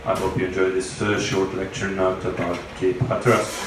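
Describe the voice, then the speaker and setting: A man speaking as a cruise ship captain, making a formal, measured and courteous announcement with halting pauses over a public address speaker. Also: low-pitched, flat delivery, background noise. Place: indoors on a cruise ship, heard over loudspeakers by a walking passenger. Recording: echoey ship PA from far off, crowd chatter. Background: passengers chattering.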